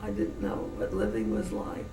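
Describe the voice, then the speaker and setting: An elderly woman from New Orleans with a general American accent, slowly reminiscing in an interview with many restarts. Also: music in the background. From New Orleans, USA. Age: older. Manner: slow, meandering, with many restarts. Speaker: interviewee, great-grandmother. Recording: noisy, roomy home recording from a distance.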